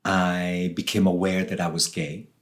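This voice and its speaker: A man from southern Switzerland with a low voice answers as an interview guest. He speaks very slowly and deliberately, setting out reflective thoughts in short phrases with pauses between them. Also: little pitch movement, fairly bright tone. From Switzerland, southern part. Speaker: author and ayahuasca facilitator, interview guest.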